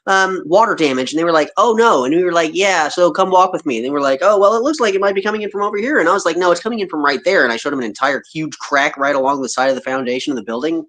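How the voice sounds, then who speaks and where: A man co-hosting a relaxed online chat, talking in an animated, ranting way. He tells stories and slips into quick voices to mimic other people. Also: on the high side. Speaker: co-host. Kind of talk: casual livestream chat.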